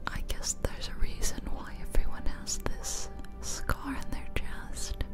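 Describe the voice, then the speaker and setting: Softly whispering woman, ASMR style. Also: unhurried, over loud music. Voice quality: soft, breathy whisper. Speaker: ASMR video host and gamer. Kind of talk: ASMR whispering, casual rambling commentary on a game. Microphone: close ear-to-ear ASMR microphone.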